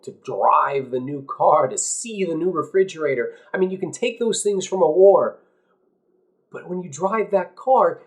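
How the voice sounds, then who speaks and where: A man performs an idealistic young war veteran in a stage drama, his voice on the higher side. He is guilt-ridden and anguished, speaking in halting, emphatic phrases with intense outrage underneath. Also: lightly acted. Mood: guilty, earnest. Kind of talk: dramatic stage monologue audition.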